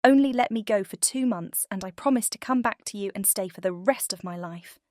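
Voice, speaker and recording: A woman playing a kind, tender young fairy tale heroine, speaking softly and earnestly in an audiobook drama. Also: mid-range voice, fairly quick, no room sound, close to an everyday voice. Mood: tender, pleading. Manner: soft, earnest, gentle.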